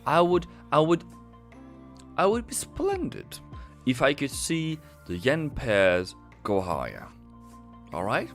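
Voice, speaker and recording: A man putting on a voice as a polite, posh British gentleman, with an exaggerated plummy delivery. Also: medium pitch, wildly swooping pitch, takes it slowly, long pauses, faint music behind, voice pushed up, exaggerated voice. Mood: mock-posh.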